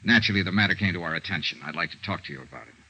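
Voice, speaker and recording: Crisp, animated radio detective. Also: male voice, sits low, rapid-fire, exaggerated voice. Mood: businesslike, probing. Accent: American. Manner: clipped, dry, businesslike. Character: brisk, hard-nosed male insurance investigator and narrator. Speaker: lead actor in a detective radio serial. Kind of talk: 1950s American radio detective acting. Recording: muffled 1950s radio recording.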